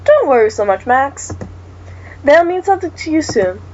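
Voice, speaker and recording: A woman's voice: high voice, big pitch swings, normal speed, muffled recording, a little hiss or hum. A woman reading the lines of a patient, soothing mother figure in a horror game. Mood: reassuring. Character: calm reassuring mother figure.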